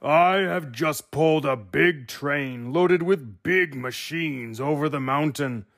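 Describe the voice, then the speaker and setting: A man's voice: fairly high pitch, takes it slowly, a bit bright. Storybook freight engine, played by a man: big, self-important, bellowing and easily affronted. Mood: haughty indignation.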